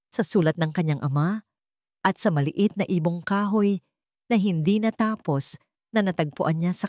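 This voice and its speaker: A woman's voice: fairly low pitch, darkish tone, dry recording, clean studio sound. A woman with a Filipino accent reading a dramatic story as its narrator in scripted storytelling style.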